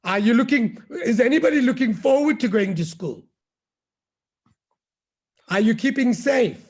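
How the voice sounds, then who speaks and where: A middle-aged man with a gruff, scratchy voice, reading a children's story aloud and chatting with the kids. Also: extremely high pitch, animated, moderate pace. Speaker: university head reading to children.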